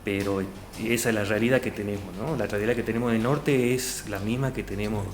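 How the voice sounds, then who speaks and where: A man's voice: on the low side, fairly even intonation, fairly quick. Hesitant Salta man in an echoey room. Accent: Argentine Spanish, Salta. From Argentina, Salta province. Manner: hesitant, many fillers and restarts. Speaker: job candidate. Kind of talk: formal oral interview. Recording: echoey room, faint noise.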